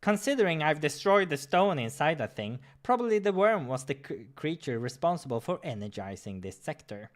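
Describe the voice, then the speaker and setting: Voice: medium pitch, sing-song, a little fast, hardly any pauses, natural voice. A visual novel narrator voicing a sassy, eager, talkative male cat adventurer with a cheeky manner.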